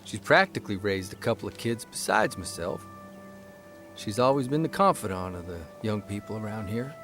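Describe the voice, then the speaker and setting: A man voicing a young newspaper columnist, telling a story in a measured, fond way. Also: lowish voice, expressive, a bit bright, soft background music. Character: young male newspaper columnist, earnest, reflective. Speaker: actor reading a column in character. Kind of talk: scripted narration and radio drama acting.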